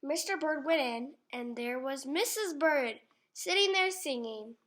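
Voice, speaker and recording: A woman reading a storybook aloud to young children in a deliberate manner. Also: high voice, fairly bright tone. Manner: deliberate, addresses child listeners. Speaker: storybook reader. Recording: faint background noise.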